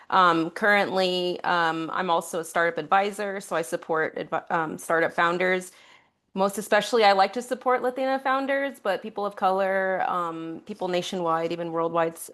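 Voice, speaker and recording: A woman introducing her startup career casually on a video call, with frequent pauses and fillers. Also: lowish voice, a bit bright. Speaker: panelist, startup advisor.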